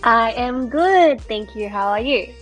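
A woman's voice: fairly high pitch, animated, unhurried, soft background music. A woman with an Australian accent chatting casually as a podcast guest. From China and Australia. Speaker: podcast guest, model and influencer. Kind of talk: casual chat.

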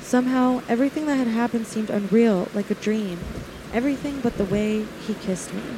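A woman's voice: fairly high pitch, fairly quick, lightly acted. Woman acting a tense radio-thriller heroine, noisy recording. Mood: dazed, somber. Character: frightened young woman recounting her attack, dramatic and tense. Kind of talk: old-time radio play narration.

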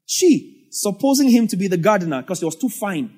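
A man's voice: high-pitched, big pitch swings, fairly quick, a little mellow, husky. A man with a Nigerian English accent preaching a sermon as a pastor, telling the story in a conversational way with asides.

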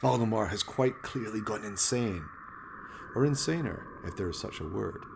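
A man's voice: lowish voice, animated, normal speed, gravelly, noisy background. A man narrating a comic horror radio play in a melodramatic, ominous storytelling manner.

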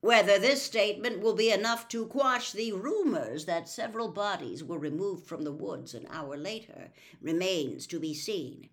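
A woman's voice: medium pitch, animated, moderate pace, hardly any pauses, lightly acted. A woman voicing a weary, kindly middle-aged wizard father who speaks heavily and soothingly. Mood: heavy. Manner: heavy, soothing, exasperated.

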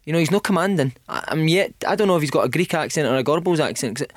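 Scottish guy, football pundit on radio, talks fast and punchy, higher voice, dry mic. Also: lively intonation. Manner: quick, chatty, emphatic opinions. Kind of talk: studio football discussion.

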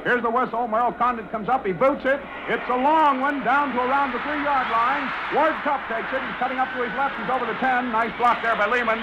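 A man's voice: extremely high pitch, steady tone, brisk, hardly any pauses. A man calling football play-by-play in clipped short sentences, in old-time radio commentary style. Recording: dull, noisy vintage radio broadcast.

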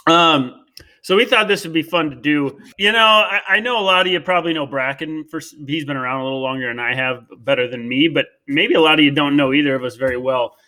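A man's voice: a little high, fast, sharp tone, low-quality recording. A man with a Midwestern American accent, co-hosting a podcast and interviewing his co-host in a joking, chatty way. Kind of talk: casual interview chat.

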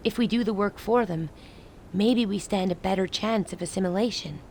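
An actress playing a grave, resolute woman who leads a besieged rebel city in a radio play, speaking in measured, formal lines. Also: mid-range voice, darkish tone, slight background noise, lightly acted. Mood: resigned, resolute. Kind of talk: dramatic radio play dialogue.

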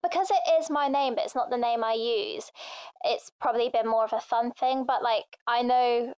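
Chatty young woman, smooth higher voice. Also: normal speed, darkish tone, no echo. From England. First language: Thai. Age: young adult. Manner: chatty, frequent "like" and "um" fillers. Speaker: interview guest. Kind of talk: casual personal interview.

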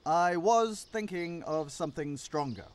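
An actor playing a weary adult son in an audio play, dry, halting and apologetic. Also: male voice, a little high, lively intonation, a little hiss or hum.

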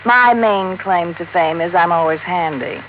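An American actress playing a worldly, guarded, wry nightclub singer in a 1950s radio detective drama. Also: female voice, fairly low pitch, unhurried, lightly acted. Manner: casual, world-weary, guarded. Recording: tinny, noisy old radio recording.

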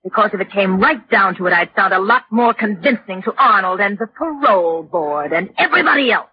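Animated scheming wife played by an actress, old radio. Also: female voice, mid-range voice, a little fast, close to an everyday voice. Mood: cold, defiant. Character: defiant, scheming unfaithful young wife. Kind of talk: 1940s radio acting. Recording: muffled, noisy vintage radio broadcast.

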